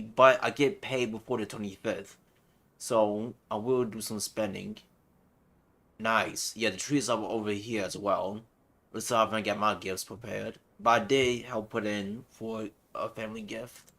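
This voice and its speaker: A man's voice: fairly low pitch, steady tone, a little slow, a bit bright. Casual male game streamer, chilled and chatty. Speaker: game livestreamer. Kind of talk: casual gameplay chat.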